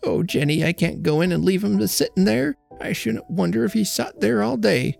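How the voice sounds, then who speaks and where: A man's voice: high-pitched, normal speed, gravelly, darkish tone, faint music behind, voice pushed up, full character voice. A man voicing a fretful, pleading old country wife with a plaintive, folksy manner of speech. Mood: distressed. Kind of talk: audiobook character voice.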